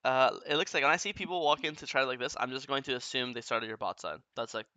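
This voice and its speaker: A man's voice: medium pitch, sharp tone, clear, resonant tone, no room sound. A young man coaching a player through a game replay, talking bluntly and often swearing as he explains. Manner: blunt, profane, rapid explaining.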